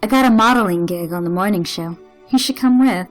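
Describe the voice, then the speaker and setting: An actress playing a superhero's affectionate, teasing young girlfriend, a model, in an animated comic. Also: medium pitch, a little fast, faint music behind, close to an everyday voice.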